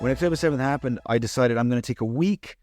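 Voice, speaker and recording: A man's voice: mid-range voice, over music. A young man who grew up in the United Kingdom, talking earnestly in an interview and often restarting his sentences. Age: young adult. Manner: earnest, fast, stumbles and restarts. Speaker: podcast guest, social media founder. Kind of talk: interview conversation.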